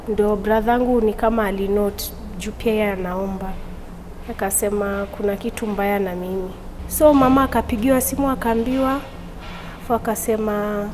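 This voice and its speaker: A woman's voice: fairly high pitch, darkish tone, long reverb tail, a little hiss or hum, rough recording. Young woman telling her story. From Tanzania. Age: young adult. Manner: halting, with frequent restarts. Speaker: interview guest. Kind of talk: personal testimony, mixing Swahili and English. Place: indoor studio. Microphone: lapel mic.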